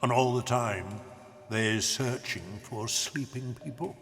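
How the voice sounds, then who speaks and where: An actor playing a gentle, childlike old giant, mangling his grammar and savouring invented words in a children's audio drama. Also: medium pitch, measured pace, long reverb tail. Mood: hushed, mysterious.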